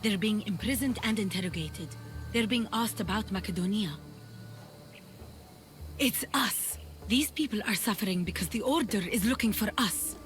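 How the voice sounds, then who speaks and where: A woman's voice: mid-range voice, moderate pace, slight background noise, close to an everyday voice. An actress as a determined, compassionate woman fighter. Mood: earnest concern. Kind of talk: dramatic video game acting. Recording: dramatic game music behind.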